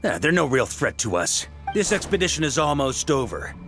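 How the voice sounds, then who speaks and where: A man's voice: on the high side, big pitch swings, moderate pace, a bit bright, faint music behind. A man voicing a jovial, confident grand master of a knightly order who gives firm commands. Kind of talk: video game voice acting.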